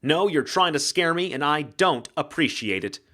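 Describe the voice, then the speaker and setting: A man's voice: on the high side, animated, normal speed, pushed and bright, pitched above the performer's own voice. A man voicing a feisty, frightened woman bookseller in an audiobook, speaking in an agitated, protesting, emphatic way. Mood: alarmed, demanding. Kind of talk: audiobook character acting.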